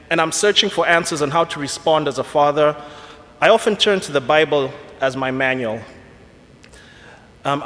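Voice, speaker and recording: A man in his forties with a Kenyan accent, giving an earnest, measured reflection to a church congregation. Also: fairly high pitch, a bit bright. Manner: earnest, measured, slightly self-deprecating. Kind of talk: prepared church talk. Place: church sanctuary. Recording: long church reverb.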